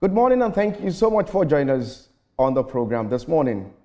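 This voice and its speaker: A man's voice: fairly high pitch, big pitch swings, a little fast, warm tone. A man presenting a morning TV news program, reading an intro and then questioning a guest, stumbling now and then. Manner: reads a scripted intro, then asks questions with some stumbles. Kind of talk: news interview.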